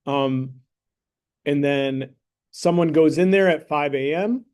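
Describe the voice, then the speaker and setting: A man's voice: fairly high pitch, slow pace, darkish tone. Male math teacher in a classroom, conversational and jokey, keeps asking "right?". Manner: joking, conversational, checks in with "right?" and "okay?". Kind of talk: classroom lesson with a mock sponsor plug.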